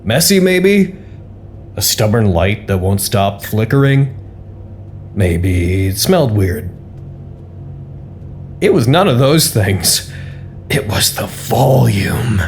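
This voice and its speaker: A male actor playing an amiable, nervously upbeat staffer who narrates his report in a wry, expressive, conversational way for an audio drama. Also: fairly low pitch, slow, vocal fry, long pauses, slight background noise, heavily acted. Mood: wry, amused. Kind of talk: scripted audio drama monologue, personal report.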